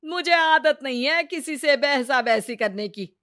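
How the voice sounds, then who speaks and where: Woman acting a slow-scolding, sarcastic mother-in-law. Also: sits high, lively intonation, fairly bright tone. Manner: scolding, taunting, theatrical. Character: sharp-tongued, sarcastic, nagging Indian mother-in-law. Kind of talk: Hindi cartoon story voice acting.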